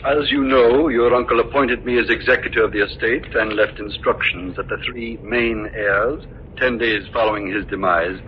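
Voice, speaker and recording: An actor playing a fussy, formal, dutiful estate executor with a hesitant, precise manner in 1940s radio drama. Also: male voice, on the low side, steady tone, moderate pace, close to an everyday voice. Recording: muffled, noisy old radio recording.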